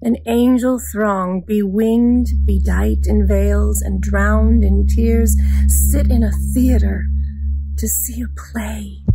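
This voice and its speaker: Slow, theatrical woman reciting over music. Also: medium pitch, fairly even intonation, creaky, poor audio. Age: middle-aged. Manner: theatrical, hushed at times, deliberate. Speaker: actor reading a poem. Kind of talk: dramatic gothic poetry recitation.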